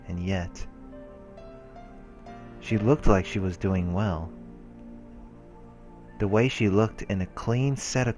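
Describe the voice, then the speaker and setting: Low-voiced man narrating over music. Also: darkish tone. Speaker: let's play narrator. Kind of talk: reading visual novel narration aloud. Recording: music behind the voice. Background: game music.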